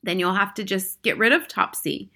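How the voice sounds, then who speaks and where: A woman reading a story, playing a calm, sly, matter-of-fact old Norwegian grandmother. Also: medium pitch, normal speed.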